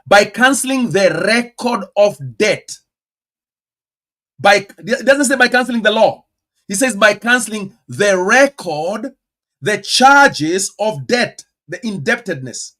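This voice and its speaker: A man with a Kenyan accent preaching a teaching sermon, stressing words and firing rhetorical questions, with sudden loud bursts and quiet asides. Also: sits high, expressive, slow. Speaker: pastor.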